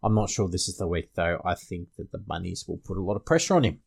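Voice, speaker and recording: A man's voice: deep voice, lively intonation, fast, creaky, husky. A man with an Australian accent hosting a casual fantasy sports panel discussion. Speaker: podcast host.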